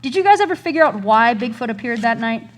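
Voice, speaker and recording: A woman's voice: fairly high pitch, expressive, fast, lightly acted. A young woman acting as a chatty host of a tall-tale interview show in a student radio play. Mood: upbeat, wrapping up. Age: young adult.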